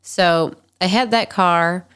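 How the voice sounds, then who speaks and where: Female podcast host, 20s, nasal and congested, low pitch, casual candid storyteller. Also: slow, fairly bright tone, clean tone. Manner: candid, chatty, frequent ums and likes. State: nasal, stuffy from allergies.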